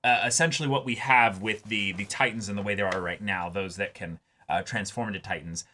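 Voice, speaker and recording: A man co-hosting a casual anime discussion, talking emphatically and haltingly, often repeating words as he builds his point. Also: on the low side, steady tone, pushed and bright, a little hiss or hum. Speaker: reaction show co-host.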